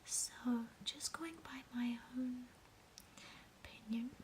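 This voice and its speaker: A woman with a New Zealand accent, whispering a gentle, soothing ASMR travel narration at an unhurried pace. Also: fairly high pitch, background noise.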